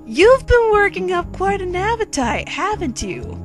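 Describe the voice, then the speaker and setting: A woman's voice: very high voice, very animated, measured pace, soft background music, voice pushed up, full character voice. Woman acting a suave, touchy young charmer. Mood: coy, uneasy. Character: suave Latin young man, courteous, touchy, romantic charmer.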